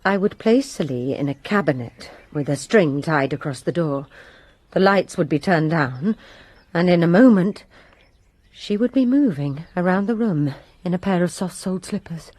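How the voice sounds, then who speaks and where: A woman's voice: lowish voice, animated, normal speed, a little mellow, no echo. A woman playing a resentful lady's maid who gives her testimony with bitter emphasis in a radio drama.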